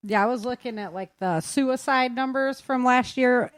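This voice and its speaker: A woman's voice: a little high, normal speed, no room sound, clean studio sound. A woman hosting a podcast, chatting casually with her guests in a conversational interview style. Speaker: podcast host.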